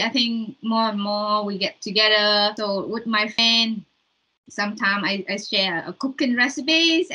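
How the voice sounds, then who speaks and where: A woman's voice: fairly high pitch, bright, forceful tone, lo-fi sound. A young woman from Vietnam with a Vietnamese accent, chatting casually in an interview over a video call. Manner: chatty, with fillers and non-native grammar. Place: room at home, indoors.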